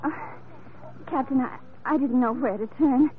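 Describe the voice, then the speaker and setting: A woman's voice: sits high, moderate pace, narrow, tinny audio, close to an everyday voice. An actress playing an anxious, pleading young mother in an old-time radio drama. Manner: pleading, earnest. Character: earnest, anxious young mother seeking help. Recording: thin vintage radio recording, faint hiss.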